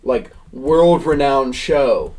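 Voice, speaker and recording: A man's voice: medium pitch, drawn-out words, darkish tone. Casual young American male podcaster. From Pennsylvania, United States. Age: young adult. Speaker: podcast co-host. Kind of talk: casual chat among friends.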